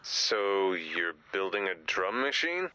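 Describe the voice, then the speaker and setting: A man's voice: deep voice, big pitch swings, slow pace, bright, forceful tone, clean tone, no room sound, full character voice, poor audio. A man voicing a spacey, laid-back rock musician with a rambling, goofy manner in a video game. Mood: skeptical. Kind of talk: video game character dialogue.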